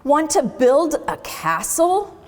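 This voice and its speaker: A woman voicing a perky, energetic young female sloth from a storybook, eager and bouncy, in an echoing space. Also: high voice, takes it slowly, a little hiss or hum, pitched above the performer's own voice, full character voice.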